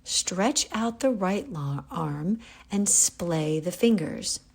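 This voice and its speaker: Slow, creaky-voiced woman guiding relaxation. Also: fairly low pitch. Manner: calm, gentle, unhurried instruction with pauses. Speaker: yoga nidra guide. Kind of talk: guided relaxation meditation.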